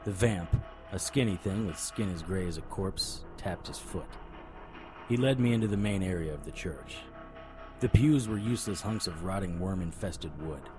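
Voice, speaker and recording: An actor playing a brooding, wry investigator who narrates a noir-style audio drama in a dry, hard-boiled manner. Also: male voice, sits low, normal speed, over music, natural voice, low-quality recording. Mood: grim, wry. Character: brooding, wry, stubborn male supernatural investigator. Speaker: narrator and lead character.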